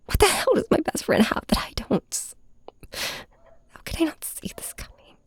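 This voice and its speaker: A woman playing a heartbroken young superhero in an intimate ASMR audio drama, confiding in a villain with halting, raw emotion in a breathy, whispery voice. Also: very high-pitched, big pitch swings. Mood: anguished, tearful. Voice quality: breathy, often dropping to a whisper. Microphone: close microphone, dry.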